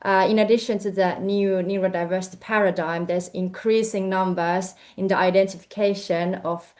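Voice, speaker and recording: Young finnish woman talking about her research, lots of ums and restarts, mid pitch, video call. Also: fairly even intonation, moderate pace, a little mellow. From Finland. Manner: hesitant, many fillers and restarts. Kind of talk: presentation talk.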